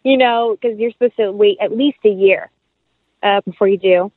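A woman's voice: medium pitch, moderate pace, no echo. A woman giving a casual interview as an actress guest, chatty and enthusiastic, over a call. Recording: narrow phone-like line.

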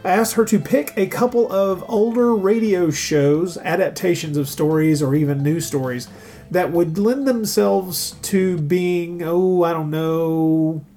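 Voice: medium pitch, measured pace, dark tone. Casual podcast host, musing and wry, music underneath.

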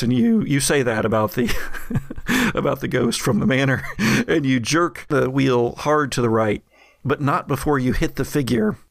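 A man narrating an improvised tabletop horror game as game master, telling it in a halting, suspenseful way. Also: mid-range voice, gravelly, dry recording, clean studio sound.

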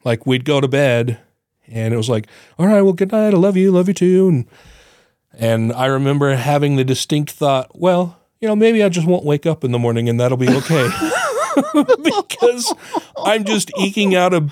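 A man's voice: a little high, big pitch swings, normal speed, a little mellow, no echo, studio quality. A man co-hosting a podcast in casual chat, his voice still a bit congested and nasal, rambling, swearing freely and laughing often. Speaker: podcast co-host.

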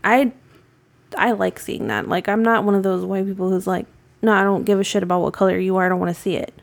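A woman's voice: medium pitch, fairly even intonation, fast, gravelly. A woman from New England, co-hosting a podcast and chatting casually, rambling with plenty of fillers and offhand swearing. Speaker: podcast co-host. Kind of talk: casual chat.

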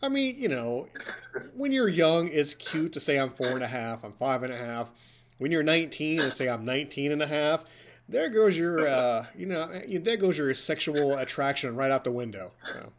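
A man's voice: on the high side, animated, moderate pace. A man in his forties hosting a podcast from a hotel room, chatty and joking with his co-host. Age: 40s. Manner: joking, chatty, playful ribbing. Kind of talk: casual podcast chat.

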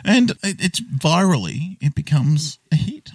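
A man co-hosting a podcast, chatting casually about an old film with joking, rambling, deadpan asides. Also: a little high, measured pace, breathy edge, no echo. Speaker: podcast co-host.

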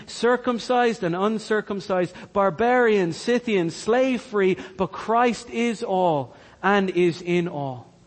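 Irish man from Cork, pastor, earnest sermon, high voice, long hall reverb. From Ireland, Cork. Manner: earnest preaching, repeats key lines for emphasis.

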